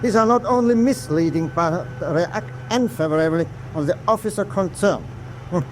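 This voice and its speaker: A stern German U-boat captain, acted by a man, proud and formal. The voice is high for a man, with clear engine ambience behind it, in a wartime radio play. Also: moderate pace, lightly acted. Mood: displeased. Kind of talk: wartime radio drama acting. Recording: clear vessel noise behind voice.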